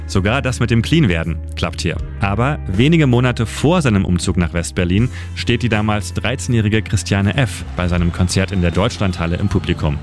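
Lowish-voiced man narrating over guitar music. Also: brisk, few pauses. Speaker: radio editor and city guide presenting a history segment. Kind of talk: scripted radio storytelling. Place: studio. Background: music bed with guitar.

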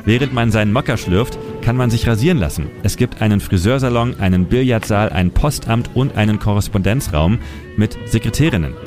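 A man's voice: on the low side, brisk, over music. A man presenting as a city guide, narrating a scripted historical story for radio.

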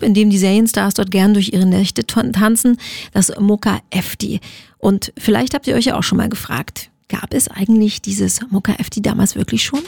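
A woman's voice: mid-range voice, creaky, a little mellow, no echo, clean studio sound. A woman radio host presenting in a chatty, informal way and speaking straight to her listeners.

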